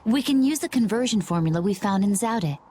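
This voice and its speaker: A woman's voice: medium pitch, animated, brisk, mellow, a little hiss or hum, natural voice. A woman voicing a poised, cool and playful young fighter in an anime-style game, composed in delivery.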